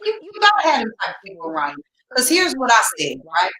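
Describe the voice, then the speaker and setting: A woman's voice: high voice, huge pitch swings, quick pace, pushed and bright, poor audio. A woman hosting a casual podcast interview, speaking frankly and often agreeing with her guest. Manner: frank, affirming, lots of backchannel. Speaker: podcast host.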